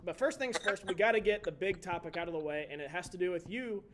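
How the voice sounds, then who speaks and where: A man in his twenties co-hosting a sports podcast, relaxed and chatty, asking his partner questions. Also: sits high, fast talker. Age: 20s. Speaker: podcast co-host. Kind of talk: casual sports chat.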